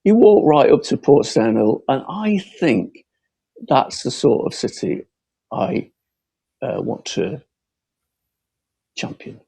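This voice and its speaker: Gravelly Lancashire man, slow and earnest. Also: mid-range voice, big pitch swings, warm tone, no room sound. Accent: northern English, Lancashire. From Bolton, Lancashire, England. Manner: earnest, halting, repeats words. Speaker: local council candidate. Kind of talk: closing campaign speech.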